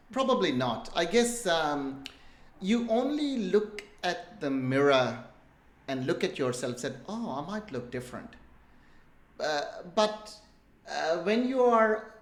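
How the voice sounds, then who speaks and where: A middle-aged man from India with an Indian English accent touched by Australian, telling jokey, rambling stories as an interview guest. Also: fairly high pitch. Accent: Indian English with Australian influence. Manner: rambling, self-deprecating, jokey storytelling. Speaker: guest entrepreneur. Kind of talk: casual interview talk. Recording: roomy echo.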